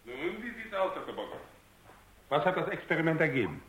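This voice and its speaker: An actor playing a concerned army general in a radio drama, formal and probing. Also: male voice, fairly high pitch, big pitch swings, a little fast, a bit bright, low-quality recording. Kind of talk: German radio drama acting.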